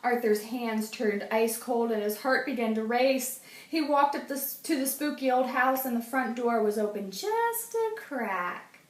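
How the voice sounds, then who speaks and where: A woman teacher reading a children's picture book aloud, telling it expressively and hushing for the spooky parts. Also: fairly high pitch, lively intonation, measured pace, a little hiss or hum. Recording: roomy, distant mic.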